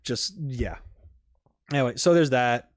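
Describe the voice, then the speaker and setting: Man, medium pitch, dry close sound, casual reaction commentary, rambling, halting, self-interrupting. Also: dry recording. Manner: halting, self-interrupting, trailing off mid-thought; casual swearing. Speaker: reaction video host.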